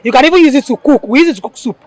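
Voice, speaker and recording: A woman's voice: high voice, expressive, very fast, a little mellow. A woman from a women's forum, answering an interview firmly and with enthusiasm.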